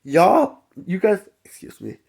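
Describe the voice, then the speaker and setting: A man's voice: high voice, huge pitch swings, slow pace, creaky, a little mellow, husky. A man telling a personal story in a casual, chatty, conversational vlog style with emphatic asides. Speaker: storytelling vlogger.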